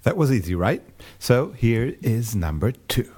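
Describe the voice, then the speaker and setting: A man's voice: medium pitch, big pitch swings, unhurried. A man from Germany introducing a music quiz for the hosts in a friendly, playful way. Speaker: listener sending a music quiz. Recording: guitar music playing behind.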